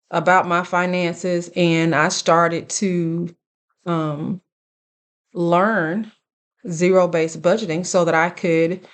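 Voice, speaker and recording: Woman late 30s, kinda low voice, casual advice vlog talk, earnest and deliberate, checks in w listeners. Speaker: YouTube host giving life advice.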